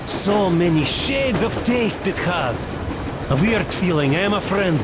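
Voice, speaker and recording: An actor playing a gentle, philosophical soldier in a video game, musing in a dreamy, unhurried manner. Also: male voice, natural voice. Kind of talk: video game character acting. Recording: loud train rumble behind. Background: train noise.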